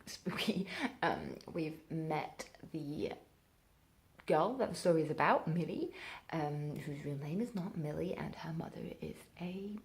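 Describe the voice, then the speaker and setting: A woman's voice: deep voice, warm tone. A woman talking casually and informally as a book vlogger, thinking aloud as she goes. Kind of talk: casual spoken reading vlog.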